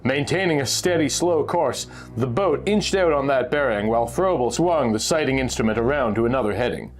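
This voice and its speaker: Animated man reading a pulp adventure story. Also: mid-range voice, fairly quick, soft background music. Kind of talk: dramatic scripted narration of an adventure story.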